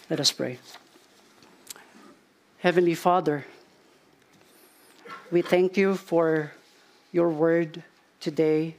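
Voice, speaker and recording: Gravelly pastor closing a sermon. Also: male voice, on the high side, fairly bright tone. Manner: earnest, repeats phrases for emphasis. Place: church.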